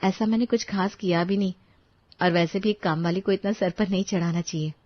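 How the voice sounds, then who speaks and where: A woman voicing a humble, apologetic working mother with a pleading, self-effacing manner in an animated moral story. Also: mid-range voice, fairly quick, no echo, lo-fi sound, close to an everyday voice.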